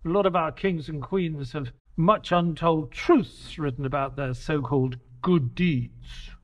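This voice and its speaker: A man as a high-voiced, sceptical detective's sidekick. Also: unhurried, close to an everyday voice. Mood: doubting. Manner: sceptical, expressive. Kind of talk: scripted audio drama dialogue and narration.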